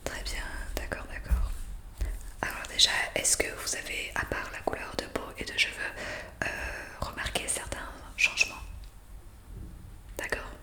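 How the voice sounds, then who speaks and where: A woman doing an ASMR roleplay in a breathy whisper, explaining things politely and hesitantly, close to the microphone. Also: measured pace, pauses often, bright, forceful tone. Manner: hesitant, improvised explaining with polite formal address. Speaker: ASMR roleplayer playing a zombie intake worker. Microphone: close USB condenser microphone.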